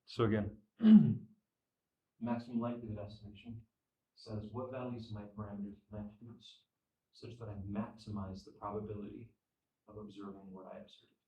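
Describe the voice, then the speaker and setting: A young man teaching statistics at a classroom whiteboard, losing his voice and clearing his throat, repeating phrases and checking understanding. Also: lowish voice, flat delivery, normal speed, warm tone, muffled recording. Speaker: statistics instructor. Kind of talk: classroom lecture. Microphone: far camera. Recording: echoey room, from far off.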